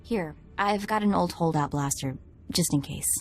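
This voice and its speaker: An actress playing a young, spirited woman sidekick with a quick, wry way of talking, over game music. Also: fairly low pitch. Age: young adult. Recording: music behind.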